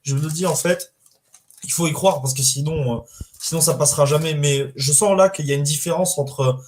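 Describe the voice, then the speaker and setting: A man's voice: fairly high pitch, moderate pace, a little mellow, a little hiss or hum. A man co-hosting a casual live show, speaking in a friendly, supportive and reflective way.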